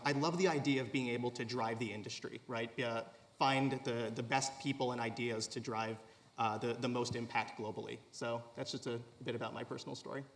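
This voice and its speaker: A man's voice: mid-range voice, a bit bright. Earnest man telling his career story. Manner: earnest, storytelling, fillers like "you know", "like". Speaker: investor, former teacher. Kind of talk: panel discussion. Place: conference hall stage.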